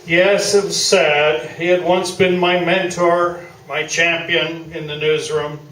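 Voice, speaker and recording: An actor playing a smug, self-promoting veteran sports columnist, pompous and falsely modest, in a staged radio play. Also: male voice, a little high, level tone, takes it slowly, fairly bright tone. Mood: smug, mock-sorrowful. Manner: pompous, mock-sincere. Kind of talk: staged radio play interview before an audience. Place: small theatre, live staged performance. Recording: roomy distant stage recording with noise.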